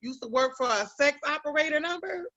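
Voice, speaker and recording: A woman's voice: high-pitched, a little fast. Brash, profane New woman comic. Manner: brash, profane, animated storyteller. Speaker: comedian panelist. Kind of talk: casual comedic storytelling. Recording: lo-fi recording.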